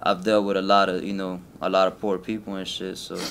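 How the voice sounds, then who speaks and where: A young man with a Colombian-Spanish accent, from Colombia and raised in Miami, talking about his life as a rapper in a casual interview, laid-back and halting with many restarts. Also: low voice, level tone, fairly bright tone, a little hiss or hum.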